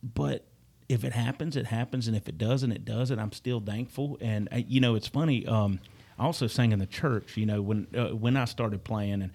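Husky-voiced man, halting and earnest. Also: medium pitch, a little mellow. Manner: halting, repeats words, earnest. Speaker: guest musician. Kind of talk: casual podcast conversation.

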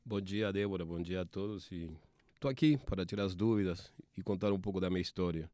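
A man in his forties from Argentina, speaking with an Argentine Spanish accent, a TV sports producer telling his career story in halting speech with many restarts. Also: sits low, dark tone, no room sound. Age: 40s.

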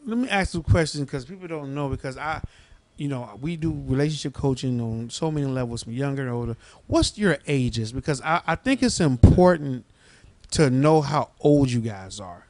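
Casting: male host in his 40s, middle-pitched, emphatic conversational coach who keeps seeking agreement. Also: normal speed, darkish tone, slight background noise. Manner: emphatic, seeks agreement with repeated tags.

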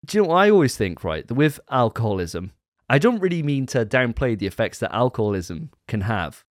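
Lively, teasing man hosting a podcast. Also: mid-range voice, a little fast, no echo, clean studio sound. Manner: teasing, jokey. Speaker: podcast host. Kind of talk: casual podcast banter.

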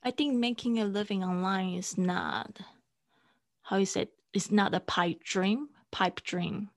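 A woman whose first language is Mandarin, speaking English as a podcast guest in an unhurried way, with many fillers and restarts. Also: mid-range voice, animated. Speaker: guest, online teacher and podcaster. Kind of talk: casual interview conversation.

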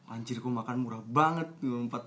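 A man's voice: mid-range voice, moderate pace, bright, forceful tone. A young man from Bekasi chatting casually on a podcast as a student guest, slangy and advice-giving. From Bekasi, West Java. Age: young adult. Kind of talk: casual chat.

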